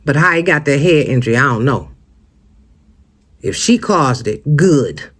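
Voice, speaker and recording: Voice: mid-range voice, animated, unhurried, fairly bright tone, husky. An American vlogger giving casual, opinionated commentary straight to viewers, emphatic and conversational, often posing rhetorical questions. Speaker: host of a true-crime commentary channel.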